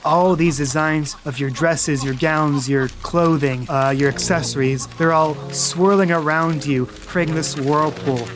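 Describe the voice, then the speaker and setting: A game master narrating a tabletop game, describing eerie dream scenes in a calm, measured way. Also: medium pitch, music in the background.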